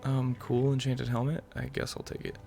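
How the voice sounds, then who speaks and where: A man's voice: medium pitch, monotone, normal speed, creaky, mellow. A young man giving casual let's-play commentary as a gaming video host, musing aloud in a rambling way over game music. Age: young adult.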